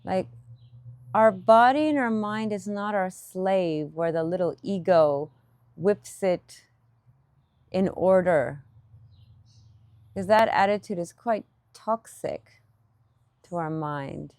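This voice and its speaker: A woman leading a guided meditation as a teacher, giving gentle instruction in short, unhurried phrases with pauses between them. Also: on the low side, no echo.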